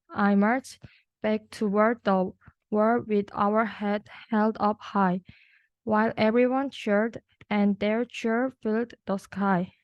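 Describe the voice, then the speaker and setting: A young woman reads a rhyming storybook aloud at a slow pace. Her delivery is careful and halting, with small stumbles. The recording is dry and slightly dull. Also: medium pitch, no room sound. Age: young adult. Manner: careful, halting reading with stumbles over words. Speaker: student reader.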